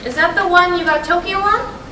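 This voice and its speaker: Stage drama: restless middle-aged wife, acted by a woman, blunt and emphatic, high-pitched, clear background noise and room echo. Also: brisk. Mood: needling, curious. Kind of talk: staged reading of a stage play. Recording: echoey room from far off, noisy.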